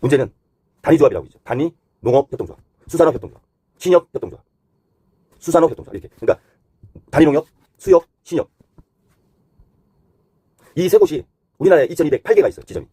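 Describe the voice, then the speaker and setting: Guy doing unscripted explainer commentary, mellow tone but super dry close sound, kinda blunt and conversational. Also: on the high side, expressive, fast, long pauses, breathy edge, dry recording. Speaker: host giving economic commentary.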